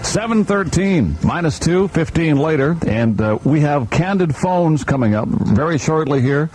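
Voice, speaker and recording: A man's voice: a little high, expressive, measured pace, darkish tone, breathy edge, rough recording. A man hosting a morning radio show with upbeat DJ patter. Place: radio studio. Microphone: studio broadcast microphone. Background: music bed.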